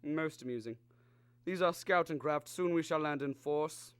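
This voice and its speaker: A man playing a calm, superior alien visitor in a radio drama, speaking formally and with measured condescension. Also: a little high, smooth, dry recording, natural voice. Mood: superior, grave. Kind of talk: old-time radio drama acting.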